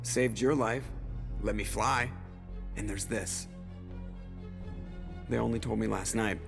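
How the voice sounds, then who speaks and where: An actor playing a wry, loyal starship pilot in a video game, talking casually with a sardonic, plain-spoken manner. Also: male voice, on the low side, steady tone, slow pace, vocal fry, over music. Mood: wry, reassuring. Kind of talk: scripted video game dialogue.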